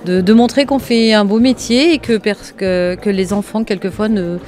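A woman's voice: mid-range voice, moderate pace, a little mellow. Hesitant woman at a fair stand. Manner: hesitant, many fillers. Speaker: logistics manager. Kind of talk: interview at a trade fair stand. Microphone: handheld recorder held by interviewer.

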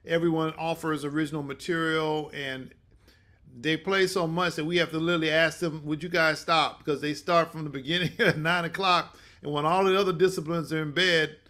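Bright-voiced older male host. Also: fairly high pitch, fairly even intonation. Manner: halting, many restarts. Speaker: host and mentor. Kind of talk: informal session hosting. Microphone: video call.

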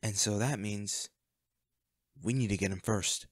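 A man playing a devoted, protective bodyguard with a dark past in an intimate audio roleplay, speaking earnestly and gravely. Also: on the low side, steady tone, measured pace, no echo, clean studio sound. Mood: grave, determined.

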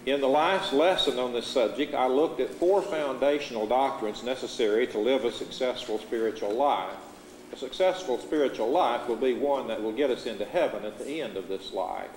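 A middle-aged American man preaching a sermon from a church pulpit, reading Scripture in a measured, earnest way. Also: mid-range voice, a little mellow. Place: church sanctuary, at a pulpit before a curtain. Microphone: lapel mic. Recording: echoey church hall, faint room noise.

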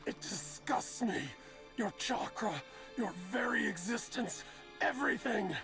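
Slow, menacing young male villain. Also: a little high, creaky, music in the background. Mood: cold menace. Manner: cold, deliberate, threatening. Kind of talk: English-dubbed anime acting. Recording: loud film score over voice.

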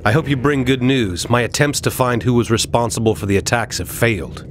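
A man's voice: medium pitch, fairly quick, slight background noise, natural voice. A man playing a noble, resolute superhero king in a video game, earnest and commanding. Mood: troubled. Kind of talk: dramatic video game acting.

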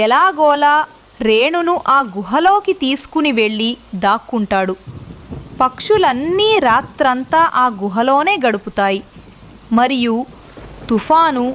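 A woman's voice: sits high, slight background noise. A woman narrating a scripted children's moral story as the storyteller.

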